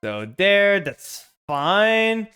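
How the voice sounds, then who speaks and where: A man's voice: sits high, very animated, very slow, sharp tone, clear, resonant tone, soft background music. A man with a French-Canadian accent, streaming a card game and talking his moves through with chat. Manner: thinks aloud, narrating each play to chat. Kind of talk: casual game stream commentary.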